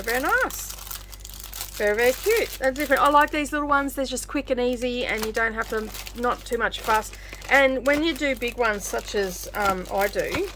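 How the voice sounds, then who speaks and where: A woman's voice: fairly high pitch, vocal fry, fairly bright tone, a little hiss or hum. A woman hosting a crafting channel, chatting casually and rambling with many filler words. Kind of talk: casual crafting chat and card reading.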